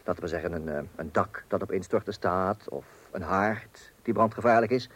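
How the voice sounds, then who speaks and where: A man's voice: low-pitched, normal speed, a bit bright, slightly rough. An actor playing a fussy, bossy middle-aged husband and father in a radio comedy, muttering and stammering. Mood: wry narration. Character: flustered, bossy husband and father, hunting a burglar at night. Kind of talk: radio comedy play acting and narration. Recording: noisy, clicky old radio play recording.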